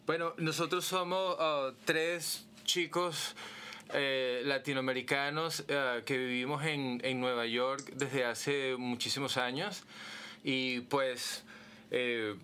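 A man from Caracas, chatting in an interview and often pausing to search for words. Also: medium pitch, bright, forceful tone, clear, resonant tone. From Caracas, Venezuela. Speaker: guitarist, band guest. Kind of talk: casual interview chat.

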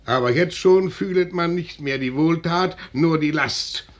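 An actor playing a guarded, stern Swedish envoy colonel in a classic German radio play, declaiming formally. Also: male voice, fairly high pitch, natural voice. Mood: proud, aggrieved. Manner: formal, measured declamation, rising to stern insistence.

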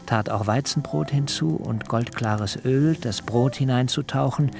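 A cautious, subdued son from biblical times, telling his own story in a radio drama. The middle-pitched voice is measured and literary, with faint music behind it. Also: gravelly. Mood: measured, recollecting. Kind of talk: radio drama acting and first-person narration.

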